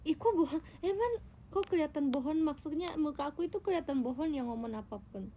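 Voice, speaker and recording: A woman's voice: high-pitched, expressive, quick pace, warm tone, slight background noise. A young Indonesian woman chatting casually and teasingly with her viewers on a phone livestream. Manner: playful, teasing, tells tall tales. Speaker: idol livestreamer.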